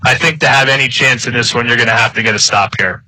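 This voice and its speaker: Very sharp, bright-voiced male football color commentator. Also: mid-range voice, quick pace, dry recording, low-quality recording. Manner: emphatic, opinionated. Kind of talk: live sports analysis.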